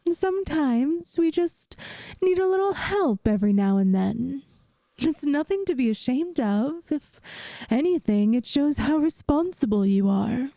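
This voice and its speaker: A woman plays a kindly vampire schoolteacher with a dry wit, in an intimate ASMR roleplay. Her female voice sits a little high yet stays mellow. She speaks slowly and reassuringly, straight to the listener. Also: no room sound, exaggerated voice. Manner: gentle, soothing, speaks directly to the listener. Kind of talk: ASMR audio roleplay, scripted.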